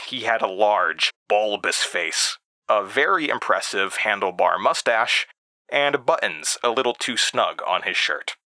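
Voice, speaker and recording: A man's voice: fairly low pitch, lively intonation, unhurried, pushed and bright, dry recording, full character voice. An actor playing an uneasy man who recounts childhood memories in a confiding, hesitant audio drama monologue. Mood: wry, reflective.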